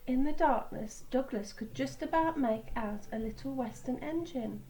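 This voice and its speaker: A woman reading a picture book aloud to children in a teacherly way, pausing for asides about the pictures. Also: high-pitched, warm tone, slight background noise. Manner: teacherly, pointing out pictures and repeating words.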